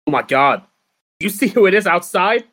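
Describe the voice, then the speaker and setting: Man putting on a gushing, animated sorority queen. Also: sits high, normal speed, heavily acted. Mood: scandalised. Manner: gushing, exclamatory. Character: gushing young female sorority queen, dramatic and easily outraged. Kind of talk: improvised comedy scene.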